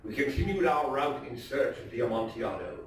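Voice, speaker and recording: A man narrating a gothic story on stage in a deliberate, theatrical style. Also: mid-range voice, lively intonation. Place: live theatre performance. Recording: very echoey room, distant mic, faint noise.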